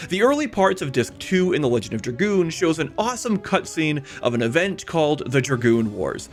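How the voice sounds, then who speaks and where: A man narrating a scripted video essay in an emphatic, conversational way, speaking straight to the viewer. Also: fairly high pitch. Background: background music.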